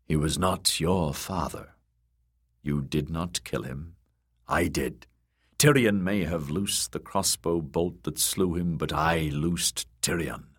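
A man voicing a weary, bitter one-handed knight who speaks low, grim and clipped. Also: takes it slowly, slightly rough, exaggerated voice. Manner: low, grim and clipped, menacing when threatening.

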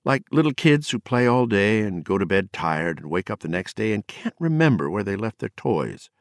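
A man's voice: lowish voice, sing-song, normal speed, no room sound, studio quality, pitched above the performer's own voice. A man voicing a drunk, bitter and eloquent poet who rants mockingly with a rising voice. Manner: mocking, sardonic, raising his voice.

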